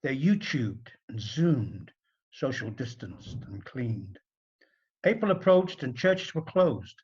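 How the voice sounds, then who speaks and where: A man narrating a rhyming story in an expressive, dramatic storybook style with deliberate phrasing. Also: medium pitch, breathy edge, dull audio.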